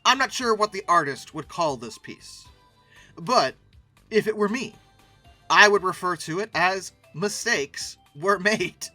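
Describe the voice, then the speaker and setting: A man's voice: high-pitched, animated, unhurried, pushed and bright, soft background music. A man hosting casual, humorous commentary, speaking emphatically and repeating words for effect. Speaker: host of a paleoart commentary channel.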